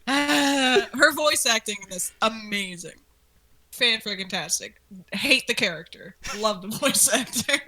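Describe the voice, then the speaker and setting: A woman's voice: a little high, lively intonation, measured pace, pushed and bright. A woman co-hosting a casual commentary, informal and enthusiastic as she reacts and asks questions. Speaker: co-host asking questions about the series.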